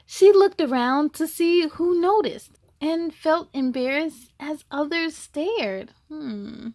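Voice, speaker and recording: High-pitched, unhurried woman reading a children's story. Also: no echo. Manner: animated, exclaiming, gasping and musing, asking listeners questions. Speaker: story-time host reading a picture book aloud to children. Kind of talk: expressive children's read-aloud with chatty asides.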